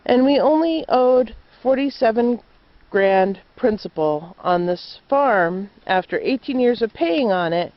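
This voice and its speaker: A woman homesteader speaks in an earnest, measured way. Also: fairly high pitch, animated, highs cut off. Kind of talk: plain spoken statement.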